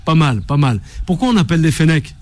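A man's voice: a little high, big pitch swings, fairly quick. A man hosting a radio show in a casual, informal chat style, interjecting brief agreements and relaying questions.

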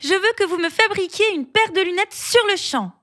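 A woman voicing a small timid short-sighted female mole in a high, squeaky voice. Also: animated, normal speed, bright, forceful tone, no echo, studio quality, exaggerated voice.

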